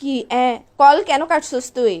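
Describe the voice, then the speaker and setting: A woman's voice: high voice, measured pace, a little mellow. A young woman with a Bangladeshi accent, scolding and nagging indignantly as a girlfriend in a casual, comic phone argument. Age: young adult.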